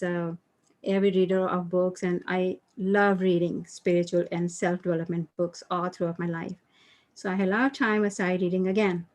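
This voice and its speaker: A woman's voice: fairly low pitch, a little mellow. Chatty woman from India with fillers. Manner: rambling with many fillers and restarts. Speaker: guest author and mindfulness coach. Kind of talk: casual interview storytelling.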